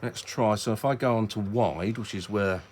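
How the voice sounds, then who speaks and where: Deep-voiced British man, rambling casually. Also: moderate pace, darkish tone, slight background noise. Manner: rambling, self-interrupting, hesitant. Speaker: hobbyist video presenter. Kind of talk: casual unscripted demonstration.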